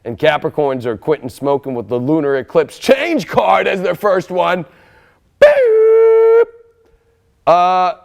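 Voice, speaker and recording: A man with a raspy voice hosting a casual live tarot reading, rambling and excitable, with lots of fillers and sudden loud outbursts. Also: high-pitched, takes it slowly, highs cut off. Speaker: tarot reader and astrologer.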